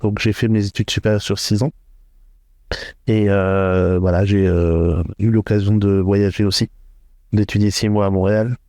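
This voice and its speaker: Homme posé, voix grave et feutrée. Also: male voice, on the low side, fairly even intonation, mellow, no echo. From France, Hauts-de-France. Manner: hesitant, many filler pauses. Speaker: podcast guest, entrepreneur. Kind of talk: interview conversation.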